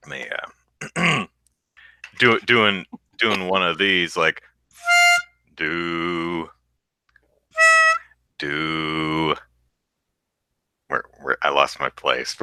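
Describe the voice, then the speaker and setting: A man with a deep bass voice, co-hosting a podcast in casual chat, rambling with lots of restarts. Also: bright, forceful tone, clear, resonant tone, no echo. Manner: rambling, self-interrupting with restarts, sings low demo notes. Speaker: podcast co-host.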